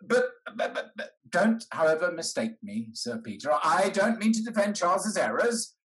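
A man's voice: sits high, animated, normal speed, a bit bright, poor audio. Man playing a blunt old uncle, wildly swooping delivery. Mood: indignant, firm. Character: blunt, hearty old uncle, wealthy English gentleman. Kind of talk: theatrical period comedy acting over video call. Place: indoors, on a video call.

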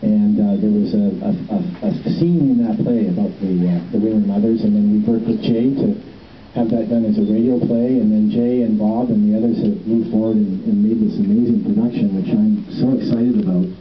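A man's voice: lowish voice, a little fast, a little hiss or hum. Middle-aged man rambling outdoors, far off. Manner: relaxed, rambling, many ums and restarts. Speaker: festival producer welcoming a walking tour. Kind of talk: casual outdoor welcome speech. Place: outdoors on a city street. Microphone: handheld microphone heard from a distance. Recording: roomy, distant, dull phone-camera sound.

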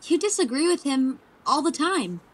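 A woman's voice: high-pitched, big pitch swings, moderate pace, slight background noise. A woman acting a chirpy, inquisitive young girl in an audio drama. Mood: nosy, teasing.

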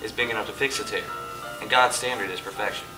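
A man acting out a calm Jesus who explains things patiently. His voice sits at a middle pitch. It is heard from across a church hall, with music behind. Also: fast talker, close to an everyday voice. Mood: earnest, patient. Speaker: church play actor. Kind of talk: amateur church stage play. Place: church hall stage, indoors. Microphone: far camera. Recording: distant camera with music behind.

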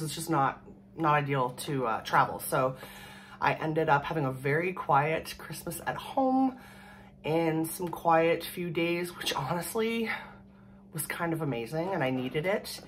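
Low, hoarse woman chatting casually. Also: animated, a little slow, a little hiss or hum. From Canada. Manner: rambling, chatty, laughs often. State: hoarse from a cold. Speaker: vlogger. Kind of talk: casual chat to camera. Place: small room at home, indoors. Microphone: camera in front at desk distance.

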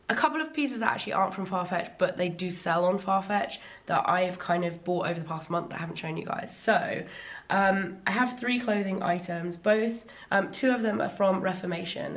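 A woman in her thirties chatting casually in a vlog from a bright living room, her voice still slightly worn after laryngitis, enthusiastic and full of ums. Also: lowish voice, normal speed. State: recovering from laryngitis, voice a little worn. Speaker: fashion vlogger. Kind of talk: casual sponsored haul vlog.

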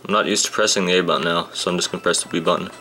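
A young man commentating a handheld game, casual and grumbling, his voice a bit rough today. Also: deep voice, steady tone, rapid-fire, sharp tone, low-quality recording. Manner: grumbling, sarcastic about the game. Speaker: gameplay commentator. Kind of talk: casual let's-play commentary. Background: game music.

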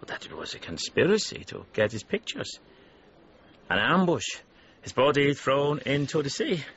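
A man's voice: mid-range voice, big pitch swings, normal speed, a bit bright, highs cut off, a little hiss or hum. An actor playing a worldly, gossipy male informant in a radio drama. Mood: conspiratorial.

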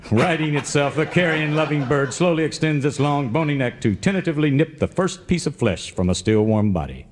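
A man's voice: fairly high pitch, expressive, brisk, a little hiss or hum, natural voice. A man playing a grave, florid narrator in a parody of old-time radio serials. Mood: grave melodrama. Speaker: announcer and narrator.

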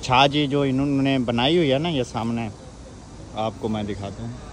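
A man's voice: medium pitch, steady tone, moderate pace. A man chatting casually and joking with his friend and viewers while vlogging outdoors in a city. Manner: joking, chatty, addresses viewers as guys and bro. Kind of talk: casual travel vlog chat. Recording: noisy street ambience.

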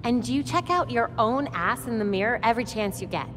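A woman's voice: on the high side, animated, brisk, natural voice. An actress playing a blunt, teasing, perceptive young woman vigilante in a video game cutscene. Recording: long hall reverb, music underneath. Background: music.

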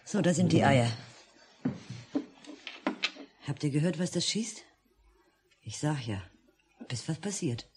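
A worried, practical mother with a lowish voice. Her delivery swings widely in pitch, in the style of a 1950s radio play. The old mono recording is clearly noisy. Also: lively intonation, moderate pace. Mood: uneasy.

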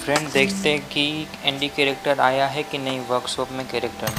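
A man's voice: medium pitch, little pitch movement, fairly quick, low-quality recording. A man with an Indian accent hosting a gaming channel, giving commentary over game footage. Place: voice-over on game screen capture. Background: background music.

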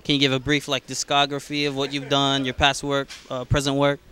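A man's voice: mid-range voice, monotone, a little fast, pushed and bright, slight background noise. A man in his twenties asking casual interview questions into a handheld microphone inside a store. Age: 20s. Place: indoors in a record store. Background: music playing in the store.

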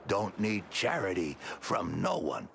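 Gravelly cranky old hermit. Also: male voice, low-pitched, very animated, unhurried, a bit bright, a little hiss or hum, heavily acted. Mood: cranky, proud. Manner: crotchety, folksy. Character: cranky, proud old male island hermit. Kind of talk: video game voice acting.

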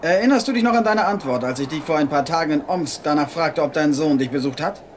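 An actor in a German audio drama playing a scheming military rebel leader who speaks with courteous, measured menace. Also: male voice, a little high, slight background noise, close to an everyday voice. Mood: coldly probing.

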